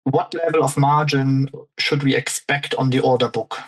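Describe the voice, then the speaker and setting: A man's voice: mid-range voice, fairly even intonation, moderate pace, rough recording. A man moderating a business call, giving instructions and reading out questions in a businesslike way.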